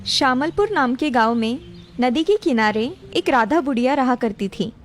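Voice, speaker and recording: A woman's voice: high-pitched, expressive, moderate pace, a little hiss or hum. A woman narrator reading a scripted moral story for a cartoon. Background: music.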